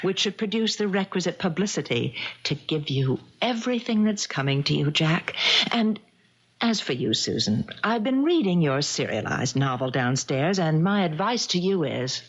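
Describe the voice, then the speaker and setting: An actress in a 1970s radio drama playing a middle-aged betrayed wife and novelist, composed, articulate and cutting. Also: female voice, mid-range voice, muffled recording. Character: betrayed older wife, novelist, coolly sardonic.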